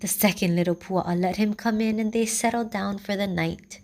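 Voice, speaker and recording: A woman reading a children's story slowly and gently. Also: mid-range voice. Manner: slow, gentle, even reading. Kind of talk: storybook read-aloud.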